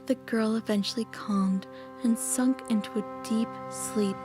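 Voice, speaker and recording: A woman playing a mysterious storyteller who tells eerie tales to children in a theatrical, hushed, suspenseful way in an audio play. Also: fairly high pitch, fairly even intonation, measured pace, music in the background, close to an everyday voice. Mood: hushed, ominous.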